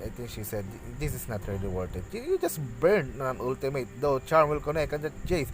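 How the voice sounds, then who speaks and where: A man giving practice play-by-play commentary on an esports match, halting with frequent restarts and bursts of excitement on big plays. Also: medium pitch, music in the background, poor audio. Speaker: esports caster.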